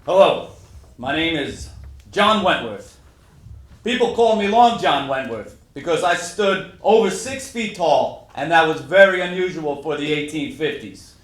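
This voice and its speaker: An actor playing a proud, folksy 1850s mayor in a boastful dramatic monologue, speaking to an audience. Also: male voice, fairly high pitch, lively intonation, measured pace. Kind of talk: dramatic monologue reading on stage. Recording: echoey hall stage recording.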